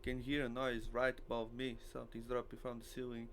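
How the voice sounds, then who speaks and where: A man's voice: mid-range voice, monotone, a little fast, fairly bright tone. A man who natively speaks Portuguese, reading a visual novel aloud as a game streamer, with faint noise behind him.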